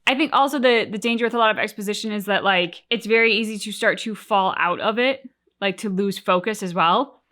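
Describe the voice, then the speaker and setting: A woman's voice: mid-range voice, fairly quick, a bit bright. A woman co-hosting a reaction show, chatting casually and acting out her own reactions. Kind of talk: casual discussion of game cutscenes.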